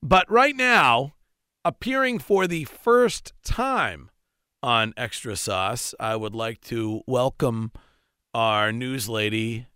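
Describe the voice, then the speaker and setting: A man's voice: medium pitch, expressive, bright, forceful tone, clean tone, no echo. Nasal-voiced man hosting in a studio. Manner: halting, many repeated words and restarts. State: recovering voice after a week without one. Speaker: radio show host. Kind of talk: bantering podcast chat. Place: radio studio. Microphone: studio microphone.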